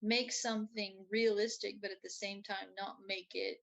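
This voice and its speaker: A woman co-hosting a casual chat, speaking in halting phrases that often trail off. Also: mid-range voice, flat delivery, vocal fry, a bit bright. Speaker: co-host.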